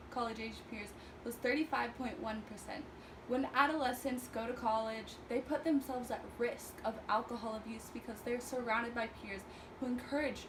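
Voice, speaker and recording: Young female student, eighteen, brisk reading, recorded from a distance over noise. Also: a little high. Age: young adult. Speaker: student presenter. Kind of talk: reading a class presentation. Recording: distant mic with clear noise.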